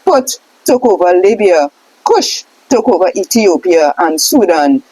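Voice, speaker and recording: Woman reading out a lesson script, really up and down pitch, careful on lists, dry sound no echo. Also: very animated, measured pace, dark tone, slightly rough. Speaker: reader of a scroll text. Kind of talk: reading aloud a scripted question-and-answer lesson.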